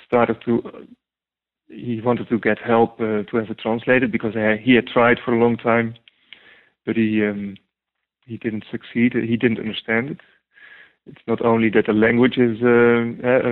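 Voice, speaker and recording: Dutch man, non-native English, halting interview guest, phone-quality audio. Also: mid-range voice. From Netherlands. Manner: hesitant, many ums and restarts. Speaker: guest translator. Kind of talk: interview answers. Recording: tinny phone-like line.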